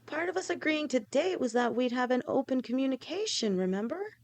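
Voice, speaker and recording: A woman voicing a gentle, protective snake-woman girlfriend who talks softly and reassuringly to her partner in an audio roleplay. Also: high-pitched, animated, a little fast. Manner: soft, reassuring, speaks directly to a partner.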